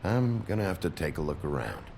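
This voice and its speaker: An actor playing a gruff, weary, terse sheriff detective with a gravelly voice in a video game. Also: male voice, low voice, sing-song, brisk, a little mellow, slight background noise, heavily acted. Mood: gruff, businesslike. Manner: terse, blunt. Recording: music behind the voice.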